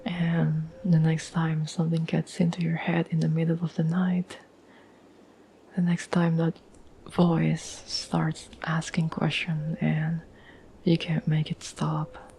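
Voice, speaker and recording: Casting: actress as devoted, soothing girlfriend for ASMR roleplay, breathy whisper, mellow and even, faint background noise. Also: low voice, full character voice, rough recording. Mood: tender, earnest. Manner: gentle, slow, halting, earnest. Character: tender, devoted girlfriend reassuring an anxious partner.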